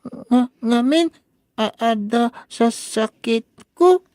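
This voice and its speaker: A woman voicing a timid, sad little boy in a radio drama, speaking haltingly with a heavy stammer. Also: a little high, darkish tone, dry recording, clean studio sound. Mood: sad, hurt. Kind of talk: Filipino radio drama acting.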